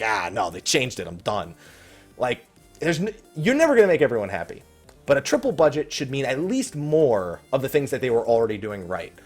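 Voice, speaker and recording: Animated man rambling casually over soft music. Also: medium pitch. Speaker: host of a gaming Q&A show. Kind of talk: casual unscripted Q&A commentary, reading out viewer questions. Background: faint background music.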